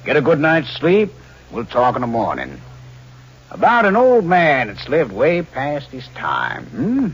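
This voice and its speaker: An actor playing a sly, needling, falsely friendly crook who schemes murder, in 1940s radio style. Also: male voice, fairly high pitch, huge pitch swings, a little slow, slightly rough, heavily acted. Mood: falsely chummy. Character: sly, fat scheming crook plotting his uncle's murder. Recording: dull, hissy old radio recording.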